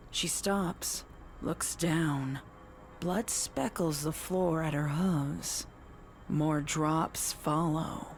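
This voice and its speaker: A woman reading dark horror fiction as scripted narration. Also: low voice, slight background noise.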